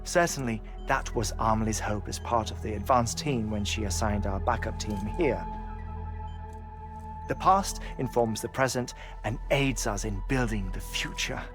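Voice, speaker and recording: Game scientist, male actor: middle-aged, eccentric and grieving, low register, reflective articulate delivery, scored with clear music. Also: normal speed, lightly acted. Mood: earnest, explanatory. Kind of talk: dramatic video game cutscene acting. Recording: music behind the voice.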